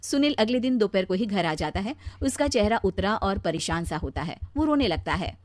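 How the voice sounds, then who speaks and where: A woman's voice: medium pitch, animated, normal speed, no room sound. A woman narrating a scripted cartoon story as its storyteller.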